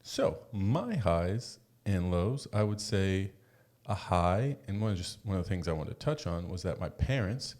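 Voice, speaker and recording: A man with a deep, low-pitched voice co-hosts a podcast. He talks informally, in an encouraging, conversational way. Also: sing-song, measured pace, darkish tone. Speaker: podcast co-host. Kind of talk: casual chat.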